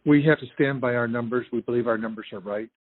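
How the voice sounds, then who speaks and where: An American man speaking as an official on a formal phone call, measured, polite and firm. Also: mid-range voice. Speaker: state election official. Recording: muffled, roomy phone line recording.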